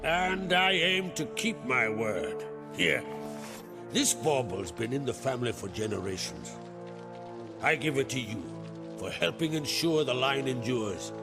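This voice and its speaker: Casting: a stern, fatherly game chieftain, proud and middle-aged, very expressive pitch, creaky gravel in the voice. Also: unhurried. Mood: solemn, grateful. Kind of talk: dramatic video game acting. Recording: music behind the voice.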